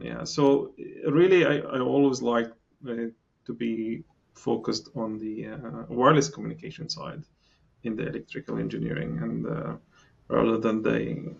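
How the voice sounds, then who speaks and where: A man's voice: mid-range voice, gravelly, breathy edge, highs cut off. A middle-aged man from Palestine, a guest in a podcast interview, talking with many fillers and restarts. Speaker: engineering guest.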